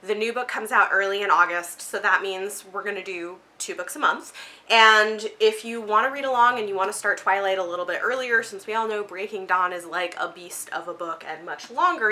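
A woman's voice: medium pitch, brisk, pushed and bright. A woman in her thirties chatting casually and emphatically to camera as a book vlogger in a room at home. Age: 30s. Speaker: book vlogger, channel host. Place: small home room with bookshelves, indoors.

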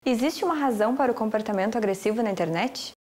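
A woman's voice: on the high side, fast, a little mellow, a little hiss or hum. A young Brazilian woman presenting with scripted documentary narration. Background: music.

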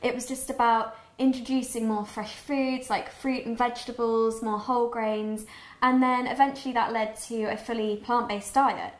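A woman's voice: a little high, flat delivery, normal speed. Upbeat young British woman cooking, roomy kitchen. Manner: upbeat, chatty, talks while cooking. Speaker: cookbook author and guest cook. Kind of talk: cooking demonstration chat. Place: bright home kitchen. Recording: roomy kitchen with music behind.

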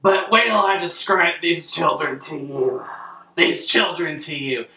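A man's voice: fairly high pitch, a little slow, sharp tone, distant mic, slight background noise. A young man telling a comic bedtime story as a crass, theatrical father, recorded in an echoey bedroom. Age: young adult. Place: small bedroom, indoors. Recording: echoey room, off-mic.

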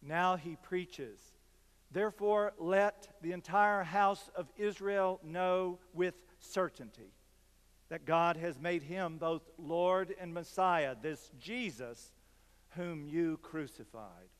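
An older man delivers a sermon from the pulpit at a very unhurried pace, pausing between phrases. His voice sits a little high for a man, and it rings out with a long reverb through a large church sanctuary. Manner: slow, measured preaching with dramatic pauses. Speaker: pastor.

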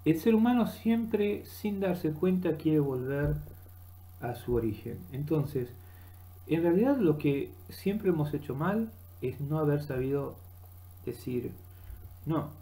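A middle-aged man with an Argentine accent giving an unscripted, halting monologue that rambles as he searches for words, recorded on a webcam in a room. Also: on the high side, lively intonation, warm tone. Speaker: vlogger. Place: small room indoors. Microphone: webcam at desk distance.

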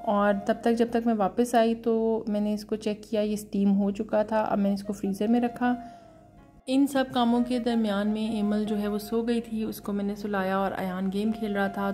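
A woman in her thirties tells her day in a relaxed voice-over, pausing often with soft fillers. Her tone is warm and dark, with very little rise and fall. A faint music bed plays beneath her. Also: mid-range voice. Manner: calm, unhurried, frequent fillers, mixes in English words. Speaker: vlogger, mother.

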